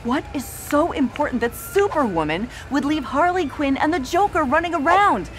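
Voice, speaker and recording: An actress playing a determined reporter in a video game, giving an emphatic, dramatic on-camera news report. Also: female voice, high-pitched, animated, moderate pace, a little hiss or hum.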